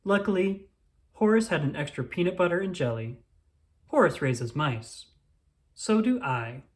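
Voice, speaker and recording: A storyteller reading a children's book aloud in an expressive, deliberate way, drawing out words. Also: medium pitch. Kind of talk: storybook read-aloud, first-person child narrator.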